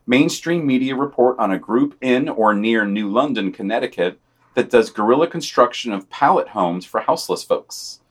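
A man's voice: medium pitch, lively intonation, normal speed. A man co-hosting a podcast, reading scripted news commentary aloud in a wry, sardonic manner. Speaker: podcast co-host.

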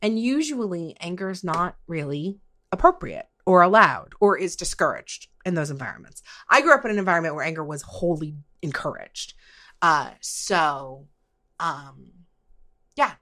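A woman podcast host with a slightly low voice, recorded close and dry. She speaks in a conversational way, as someone leading a discussion. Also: sharp tone, dry recording.

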